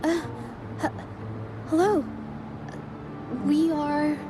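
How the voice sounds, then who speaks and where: A woman playing a polite, thoughtful young female investigator in a video game, speaking in a measured, gentle, analytical way. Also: high-pitched, steady tone, background noise. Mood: hesitant, polite. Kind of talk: video game story voice acting. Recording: music under the voice.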